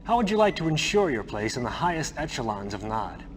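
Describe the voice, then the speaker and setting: An actor playing a scheming, ambitious military lieutenant in a video game cutscene, smooth, flattering and conspiratorial. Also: male voice, medium pitch, fairly quick, lightly acted.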